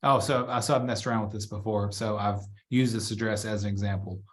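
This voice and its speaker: Man casually talking through a software demo. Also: fairly low pitch, fairly even intonation, fairly quick. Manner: chatty, informal, thinking aloud as he works. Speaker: real estate trainer. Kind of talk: casual live software walkthrough.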